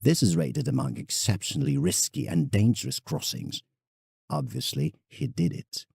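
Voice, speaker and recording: A man plays an elderly eccentric painter in an audio drama, reading his letter aloud. The voice is very low and heavily creaky, swinging widely in pitch as he muses theatrically. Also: very animated, normal speed, a little mellow, dry recording, clean studio sound, full character voice. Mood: musing, storytelling. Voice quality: gravelly, husky. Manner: theatrical, musing, conversational asides. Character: elderly eccentric English painter writing a fond, indignant letter.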